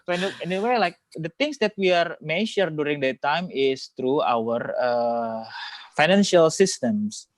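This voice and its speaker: A man with an Indonesian accent talking casually on a video call, halting and often repeating his words. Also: fairly high pitch, lively intonation, no echo. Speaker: host. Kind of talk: casual reflective conversation.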